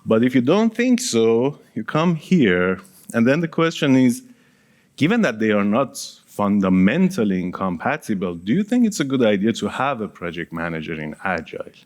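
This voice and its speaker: A man's voice: on the low side, sing-song, moderate pace, darkish tone. A man whose first language is Persian, giving a conference talk in a casual, engaging way and polling the audience with questions. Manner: engaging, quizzes the audience and counts hands; casual, with small laughs and asides. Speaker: conference speaker. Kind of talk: conference presentation.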